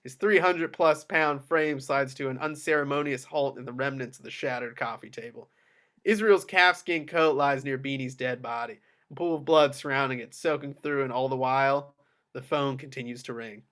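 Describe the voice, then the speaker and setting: A man's voice: mid-range voice, normal speed, fairly bright tone. A man reading screenplay action lines as the narrator at a table read. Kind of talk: screenplay stage-direction narration.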